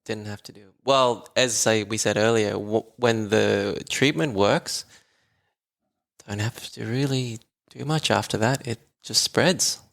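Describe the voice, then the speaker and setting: A man chats eagerly as a podcast guest, laughing often as he explains his work. His voice sits low and carries a gravelly, fry-filled edge. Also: measured pace, fairly bright tone. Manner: enthusiastic, chatty, laughs often. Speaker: guest, voice therapist. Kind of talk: casual podcast conversation.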